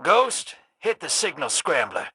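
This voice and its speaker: A bright, raspy roguish man, cocky and sly. He drawls in a folksy, sardonic way, unhurried and swooping widely in pitch, like a colourful game character. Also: sing-song, no room sound, full character voice. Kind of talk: colourful video game voice acting.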